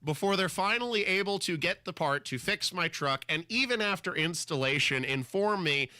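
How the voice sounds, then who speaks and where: Male talk radio host, slightly high, punchy delivery, a little scratchy from a cold, sarcastic storyteller. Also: expressive, moderate pace, bright, forceful tone, no room sound, studio quality. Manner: exasperated, sarcastic, dramatic asides. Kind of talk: casual on-air storytelling. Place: radio studio.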